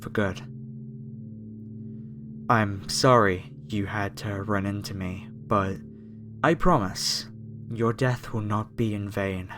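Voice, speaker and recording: Guy doing a creepy cult captor for horror asmr, really slow, coaxing and preachy, faint music behind. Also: fairly low pitch. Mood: regretful menace. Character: masked cult fanatic captor, reverent, menacing, falsely soothing. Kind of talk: horror ASMR roleplay, scripted monologue to the listener.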